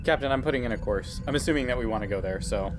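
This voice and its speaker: A man's voice: medium pitch, racing. Casual man player with music behind. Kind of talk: casual tabletop game chat.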